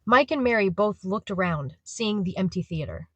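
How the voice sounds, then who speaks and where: A woman's voice: medium pitch, lively intonation, normal speed, fairly bright tone, slightly rough. A woman reading scripted story narration for an audio drama.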